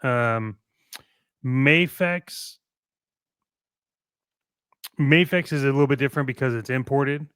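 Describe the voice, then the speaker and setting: A man with a bright, clear voice holds forth as a casual show co-host. He is blunt and emphatic, circling back on his phrases to press a point, in a close, dry sound. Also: medium pitch, slow pace, long pauses, no echo. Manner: emphatic, repeats phrases, blunt with mild swearing. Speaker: co-host of a toy collecting show. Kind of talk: casual opinionated discussion.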